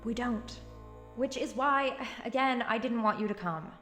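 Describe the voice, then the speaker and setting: A woman's voice: on the high side, measured pace, bright, forceful tone. An actress playing a determined, matter-of-fact young woman in an audio drama, dry and slightly exasperated. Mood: defensive, exasperated. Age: young adult. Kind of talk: naturalistic audio drama acting. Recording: roomy echo with music behind.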